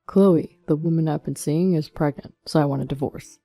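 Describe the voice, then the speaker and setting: Woman voicing a cheating husband, low and gravelly. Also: normal speed, warm tone, dry recording, soft background music. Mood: pleading. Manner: pleading, making excuses. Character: cheating husband, evasive and self-excusing.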